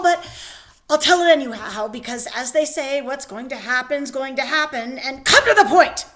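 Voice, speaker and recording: A woman's voice: high voice, a bit bright. A woman voicing a frightened male soldier who rambles nervously and stammers. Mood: flustered. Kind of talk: dramatic reading of a play.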